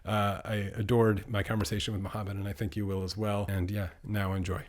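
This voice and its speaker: A man's voice: fairly low pitch, little pitch movement, a little fast. Casual, chatty male podcast host. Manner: casual, chatty, with speeding-up asides. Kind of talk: podcast monologue and interview.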